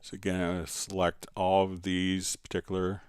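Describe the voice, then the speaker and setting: A man hosting a live software tutorial, explaining step by step and informally thinking aloud as he demonstrates. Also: low voice, completely flat delivery, a little fast, clear, resonant tone, dry recording. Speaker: software instructor and livestream host.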